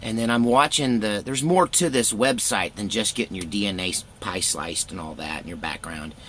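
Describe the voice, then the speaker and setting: A man's voice: lowish voice, moderate pace, fairly bright tone, a little hiss or hum. A middle-aged American man telling a personal story casually, in a candid, folksy, rambling way.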